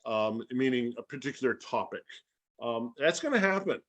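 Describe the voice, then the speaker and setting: A man's voice: medium pitch, moderate pace. Informal man explaining things conversationally. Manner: animated, acts out imagined dialogue. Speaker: guest speaker and science educator. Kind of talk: casual explanatory Q&A talk.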